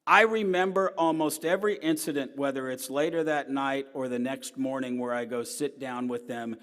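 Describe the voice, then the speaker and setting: A man's voice: mid-range voice, fairly even intonation, normal speed. Earnest middle-aged man in a reverberant hall. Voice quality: loud, strong voice. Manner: earnest storytelling, swinging between emphatic and quiet. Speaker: newspaper columnist giving a talk. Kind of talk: personal lecture to an audience. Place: large hall.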